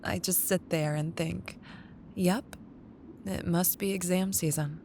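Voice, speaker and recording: Woman acting as caring young female best friend, lowish voice, slow and tender, teasing, wistful, ASMR roleplay, rumbly background noise. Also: steady tone, darkish tone. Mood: fond teasing.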